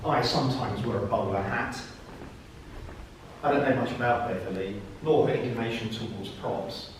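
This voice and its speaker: A man giving a dry, deadpan introduction as part of a live poetry performance. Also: mid-range voice, normal speed, a little hiss or hum. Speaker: performance poet. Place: live arts venue, indoors. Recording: echoey room from far off.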